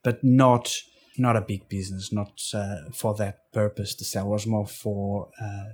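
Portuguese-accented man, halting and low. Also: level tone, a little mellow, husky. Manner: halting, with frequent restarts and fillers. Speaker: interview guest. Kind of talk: casual storytelling.

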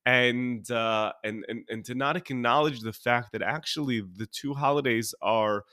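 An American man who is sniffly with a cold, hosting a solo podcast and teaching in an informal, halting way, rephrasing as he goes. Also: mid-range voice, pushed and bright, no room sound, studio quality. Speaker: podcast host and religious teacher.

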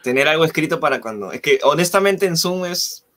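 A man in casual chat about stand-up comedy, relaxed and slangy, laughing easily. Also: a little high, brisk. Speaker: comedian co-host.

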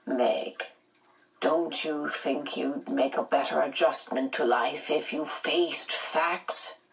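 Woman voicing a stern, low-pitched male principal. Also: fairly even intonation, creaky, distant mic, full character voice, poor audio. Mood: prying. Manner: measured, admonishing. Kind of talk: audiobook character voice.

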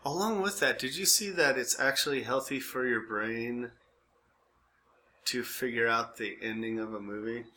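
Bright, forceful man in casual podcast banter. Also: medium pitch, normal speed, a little hiss or hum. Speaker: podcast co-host.

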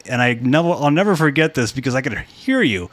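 A man's voice: mid-range voice, big pitch swings, a little fast, fairly bright tone. A man co-hosting a podcast, chatting casually and enthusiastically, often laughing. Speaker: podcast co-host. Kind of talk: casual chat.

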